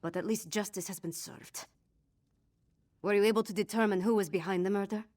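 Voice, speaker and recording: A woman's voice: medium pitch, steady tone, a little fast, a little mellow, natural voice. A woman voicing a headstrong young princess in a fantasy video game, forthright and emphatic in her delivery. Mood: urgent. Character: headstrong young princess, grieving and righteously indignant. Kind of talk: video game dialogue acting.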